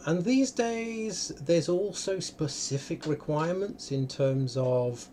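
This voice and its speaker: A man hosting a casual live question session, talking haltingly and often repeating phrases as he goes. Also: medium pitch, warm tone. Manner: hesitant, halting, circles back and repeats phrases. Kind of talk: casual unscripted question-and-answer talk. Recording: clearly noisy live stream audio.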